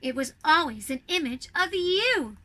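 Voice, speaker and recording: Woman voicing a bright, high, earnest young princess. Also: very animated, a little slow, exaggerated voice. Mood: tender. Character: young princess, the queen's affectionate, earnest sister.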